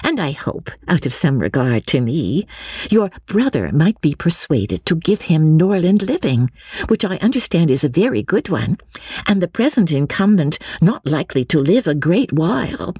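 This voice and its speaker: A woman's voice: low-pitched, very animated, moderate pace, dark tone, husky, no echo, clean studio sound, voice pushed up, exaggerated voice. A woman voicing a sly, jealous young woman in a classic novel, effusive and mannered, confiding as she schemes. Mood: confiding, scheming. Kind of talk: classic novel character dialogue.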